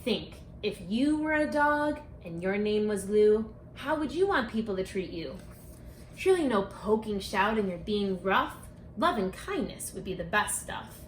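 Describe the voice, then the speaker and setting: A woman reading a rhyming picture book aloud for children, speaking expressively and deliberately, straight to her young listeners. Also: mid-range voice, expressive. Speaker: children's book author and read-aloud presenter. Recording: echoey room with faint background noise.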